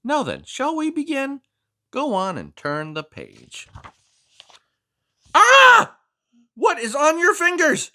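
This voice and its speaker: A man's voice: very high voice, huge pitch swings, unhurried, a bit bright, pitched above the performer's own voice, heavily acted. Finn: a man doing a fussy, boastful frog. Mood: smug, boastful. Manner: prim, scolding, melodramatic outbursts. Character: fussy, boastful neat-freak frog, small, easily outraged. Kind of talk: picture book read aloud.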